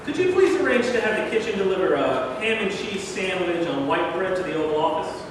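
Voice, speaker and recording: A man's voice: sits high, sing-song, fairly quick. The sound is distant and noisy, ringing with heavy echo. A man acts out an irritable, plain-spoken president in a staged reading, blunt and short on patience. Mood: gruff. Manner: blunt, impatient, testy. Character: irritable, plain-spoken American president, worried about war casualties. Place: live reading in a large echoing room. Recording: very echoey noisy hall, from far off.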